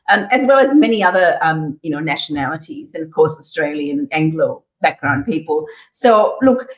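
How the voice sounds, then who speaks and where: A middle-aged woman from Sri Lanka, talking as a guest over a video call from her office, chatty with frequent um fillers and laughs. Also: medium pitch. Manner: warm, chatty, laughs often, many um fillers. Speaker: guest, community organisation CEO. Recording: echoey room sound.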